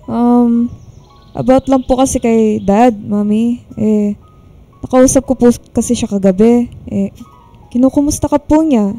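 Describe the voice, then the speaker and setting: A woman's voice: fairly high pitch, measured pace, warm tone, soft background music. A woman playing a timid, polite young adult Filipino daughter in a radio drama, speaking hesitantly and evasively.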